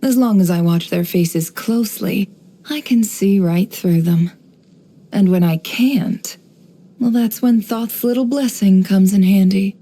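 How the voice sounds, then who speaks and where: An actress voicing a sly, composed young woman, an intelligence broker in a video game, speaking in a measured, coolly knowing, teasing way. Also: mid-range voice, mellow, lightly acted. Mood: guarded, knowing. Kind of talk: video game character voice lines.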